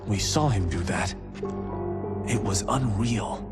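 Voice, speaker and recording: A man's voice: fairly low pitch, takes it slowly. A man playing a heroic fighter's ally in a video game cutscene. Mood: amazed. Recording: music behind the voice.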